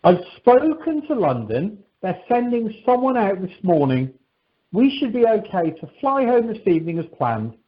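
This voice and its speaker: A woman playing a brisk, capable airline crew member in an amateur radio play. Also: medium pitch, sing-song, close to an everyday voice. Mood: businesslike. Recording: dull telephone-conference recording.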